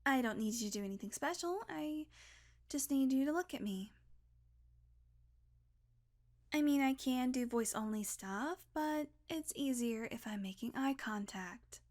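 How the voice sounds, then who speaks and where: A woman's voice: high-pitched, animated, moderate pace, darkish tone. A woman playing a snarky, teasing, grudgingly caring vampire in an intimate audio roleplay, with casual, sardonic banter. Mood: reassuring, coaxing.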